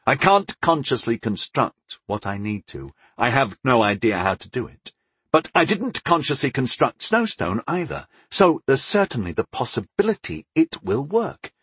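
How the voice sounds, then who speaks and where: A man's voice: medium pitch, big pitch swings, normal speed, a bit bright, breathy edge, no echo. A man playing a sarcastic, daring wizard in a fantasy audiobook, wry and musing with eager recklessness.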